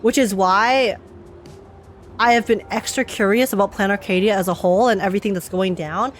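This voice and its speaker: A woman's voice: mid-range voice, fairly quick, faint music behind. A young woman streamer giving casual, chatty reaction commentary on a game, laughing often.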